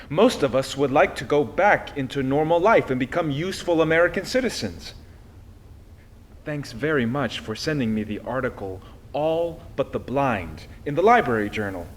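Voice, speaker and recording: Man reading aloud, lively swings in pitch. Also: a little hiss or hum. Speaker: reader of a historical letter. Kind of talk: expressive read-aloud of a personal letter.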